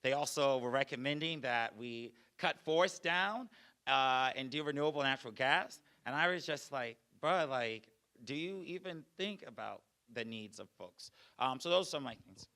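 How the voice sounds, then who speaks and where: A man's voice: medium pitch, animated, normal speed, pushed and bright. A young man running for Congress, answering audience questions at a forum in a loose way, with many fillers and restarts. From Georgia. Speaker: congressional candidate.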